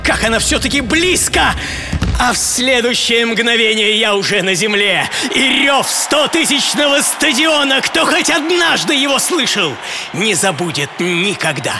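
Актёр играет упорного чемпиона по прыжкам в высоту, театрально, с драматическими паузами рассказывающего о себе в радиоспектакле. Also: male voice, extremely high pitch, very animated, exaggerated voice. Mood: exultant. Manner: theatrical, with dramatic pauses. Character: champion high jumper man reliving triumph and injury, intense, defiant. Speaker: actor and author of the programme. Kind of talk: dramatic first-person radio monologue. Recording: studio voice over prominent music.

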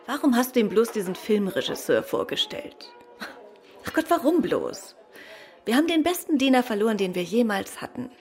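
Inquisitive, refined wife, lightly high voice. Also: female voice, very animated, normal speed, a little mellow, faint music behind. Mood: wistful, casual. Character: refined, curious, sharp-witted wife of a detective. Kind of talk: German radio play acting.

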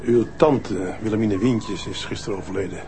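An actor playing a calm middle-aged police detective who questions people in a measured way, in a Dutch TV drama. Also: male voice, on the low side, over music, natural voice.

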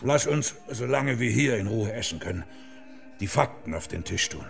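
An actor playing a shrewd, earthy monk investigator in a radio play, probing with a gravelly voice. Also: male voice, on the low side, expressive, moderate pace, music in the background. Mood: deliberating.

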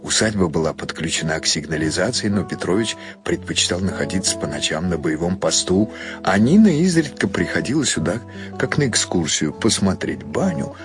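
Low-voiced male storyteller over music. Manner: unhurried, with many pauses and shifting emphasis. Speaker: narrator reading prose for a radio audio play. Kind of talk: expressive literary narration, storytelling.

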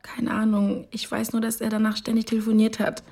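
A woman's voice: on the high side, monotone, a little fast, over music, natural voice. An actress playing a shaken young girlfriend, defensive and sad, giving hesitant answers in a radio drama.

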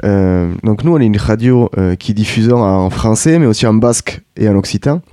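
Young man, radio host, low pitch, dark mellow voice, dry close mic, loose rambling questions. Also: normal speed, clean tone, no room sound. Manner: friendly, rambling questions with fillers. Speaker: radio interviewer. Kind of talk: casual radio interview.

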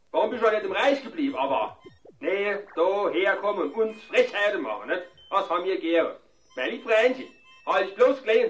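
A man's voice: high voice, recorded from a distance. A blustering Saarland rocket crewman. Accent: Saarland German. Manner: blustering, stammering dialect speech. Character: gruff Saarland rocket crewman, cheeky and blunt. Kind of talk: radio play acting.

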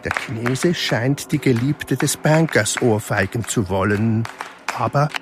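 Slow, measured male narrator. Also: mid-range voice, crowd noise, background noise. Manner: measured, matter-of-fact. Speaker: narrator reading stage directions. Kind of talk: scripted narration in a radio play.

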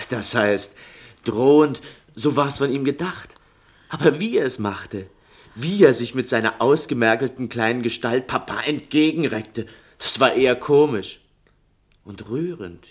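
Schoolboy son for radio play, male actor: curious, persistent, sensitive, halting and eager, mid-range voice. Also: medium pitch, close to an everyday voice. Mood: excited, earnest. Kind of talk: German radio play acting.

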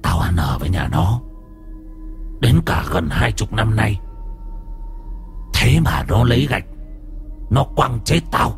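A man voicing the bitter ghost of a slaughtered dog, speaking in a low, muttering growl through a possessed woman. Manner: low muttering growl, half-human words.

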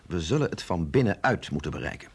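Gezaghebbende dokter met krakende stem. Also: male voice, low-pitched, sing-song, husky, exaggerated voice. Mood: grave, matter-of-fact. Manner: measured, explanatory, authoritative. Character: authoritative male doctor at a secret research institute, patient and grave. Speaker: doctor. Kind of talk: radio drama acting.

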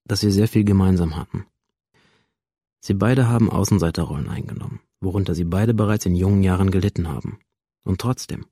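A man playing a probing, unyielding police interrogator in a radio drama, measured and clinical in his questioning. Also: low voice, creaky, warm tone, husky, dry recording, clean studio sound, exaggerated voice. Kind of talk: modern radio drama acting.

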